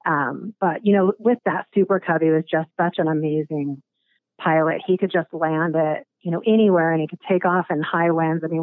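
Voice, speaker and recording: Raspy woman on a thin phone line. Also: sits low, no room sound. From Alaska. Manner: halting, many ums and restarts. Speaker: guest. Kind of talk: casual interview.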